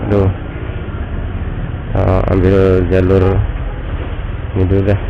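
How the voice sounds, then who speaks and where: A man's voice: deep voice, gravelly, highs cut off. A man with a stuffy, nasal voice from a cold, chatting casually as he vlogs while riding a motorbike through city traffic. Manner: relaxed, chatty, unhurried. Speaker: motovlogger. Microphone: action camera mic.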